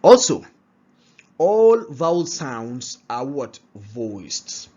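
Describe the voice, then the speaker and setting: A man's voice: medium pitch, big pitch swings, very slow, warm tone. A man teaching a pronunciation lesson, often asking rhetorical questions and checking in with "all right?". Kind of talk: classroom-style lesson. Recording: dull lo-fi recording.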